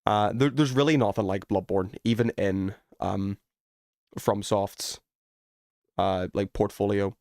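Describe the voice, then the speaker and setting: Male game streamer, lower register, dry studio-close sound; loose, rambling, self-deprecating delivery with casual swearing. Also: fairly even intonation, measured pace, darkish tone, no echo. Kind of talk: casual chat while streaming gameplay.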